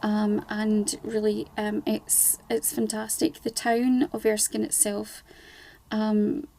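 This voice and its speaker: A woman's voice: medium pitch, flat delivery, takes it slowly, creaky, warm tone. A middle-aged woman from Scotland, guest on a charity radio show, chatting casually with lots of ums. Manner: chatty, many ums, laughs easily.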